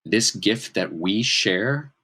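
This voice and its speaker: A man playing a stern, angry man who delivers blunt, profane warnings in a deliberate, cold way. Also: on the low side, animated, bright, forceful tone, husky, heavily acted. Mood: cold anger.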